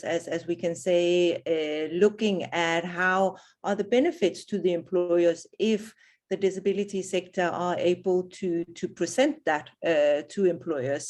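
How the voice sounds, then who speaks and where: A woman's voice: lowish voice, a little mellow. A woman presenting at an online webinar, speaking hesitantly with many restarts and fillers. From not South African. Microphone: video call.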